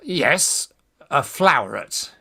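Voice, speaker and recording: A man playing a hot-headed, exasperated young gentleman in a Victorian farce radio play, scolding emphatically. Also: fairly high pitch, big pitch swings, takes it slowly, gravelly, exaggerated voice.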